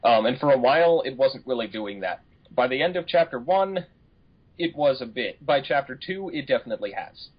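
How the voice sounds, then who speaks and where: Husky male voice, medium pitch, podcast co-host, casual, rambling, lo-fi recording. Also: expressive, a little fast. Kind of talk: casual chat. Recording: dull, lo-fi podcast audio.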